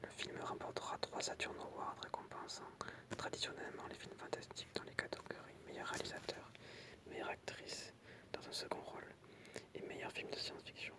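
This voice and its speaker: Whispering ASMR reader. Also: brisk. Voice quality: unvoiced, breathy whisper. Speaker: ASMR creator reading film trivia with commentary. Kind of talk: ASMR, whispered reading of anecdotes with casual asides.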